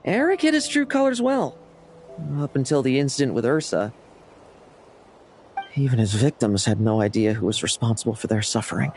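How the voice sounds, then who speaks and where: A man's voice: mid-range voice, big pitch swings, moderate pace, long pauses, a little mellow, soft background music. An actor playing a cocky young knight in a video game, wry, teasing and irreverent toward his elders. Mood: reassuring, dismissive. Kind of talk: video game character acting.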